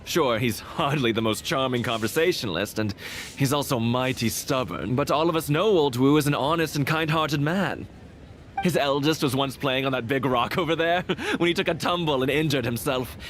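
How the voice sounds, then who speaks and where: An actor playing a talkative, shrewd and neighborly merchant in a video game, speaking in a folksy, earnest way. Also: male voice, medium pitch, moderate pace, fairly bright tone, slight background noise, lightly acted. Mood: puzzled, chatty. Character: chatty, shrewd, neighborly male merchant shopkeeper.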